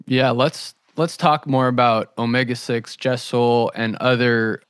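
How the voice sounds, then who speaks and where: A man's voice: medium pitch, fairly even intonation, unhurried, a bit bright, smooth, no echo, studio quality. A man hosting a podcast interview, casually building long, thoughtful questions with hesitant phrasing.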